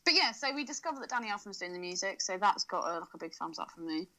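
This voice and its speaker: Bright-voiced woman, casual podcast banter. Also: mid-range voice, lively intonation, fast talker. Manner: teasing, sweary banter. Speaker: podcast co-host. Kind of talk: casual film chat.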